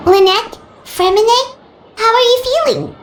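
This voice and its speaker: Squeaky little fairy sidekick girl from a game, super high and chatty, noisy echoey audio. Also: unhurried. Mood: relieved. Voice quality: squeaky, childlike. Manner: third-person self-reference, expressive, exclamatory. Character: small childlike female fairy companion, chatty and caring. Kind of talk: English video game voice acting.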